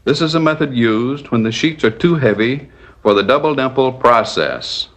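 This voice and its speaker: A man narrating a scripted 1940s instructional film in a measured, didactic, step-by-step manner. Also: mid-range voice, lively intonation, a bit bright.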